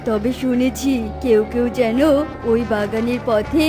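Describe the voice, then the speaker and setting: A woman's voice: fairly high pitch, steady tone, a little slow, lightly acted. A woman voicing a worried, gossipy bird traveller in a children's cartoon. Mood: uneasy. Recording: music under the voice.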